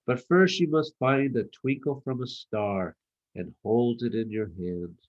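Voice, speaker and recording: An imaginative father gnome in a children's tale, read by a man. He speaks slowly and with playful assurance, encouraging a child. The recording is slightly muffled. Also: mid-range voice, natural voice.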